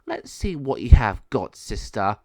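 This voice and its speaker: A man voicing a scheming villainous sorceress who taunts her sister with sneering, drawn-out words. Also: mid-range voice, very animated, no echo. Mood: menacing.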